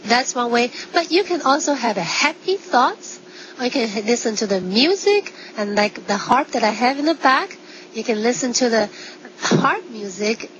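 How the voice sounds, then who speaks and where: Higher-pitched woman doctor explaining, slightly dull sound. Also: very animated, a bit bright. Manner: simple non-native phrasing, short halting phrases. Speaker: physician, interview guest. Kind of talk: conversational explaining with simple examples. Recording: dull sound, faint background noise.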